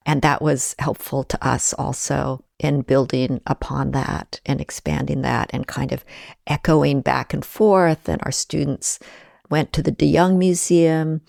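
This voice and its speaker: Gravelly-voiced woman, reflective guest. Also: low-pitched, a little mellow, no echo, clean studio sound. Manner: thoughtful, measured, enthusiastic about collaboration. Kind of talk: reflective conversational interview.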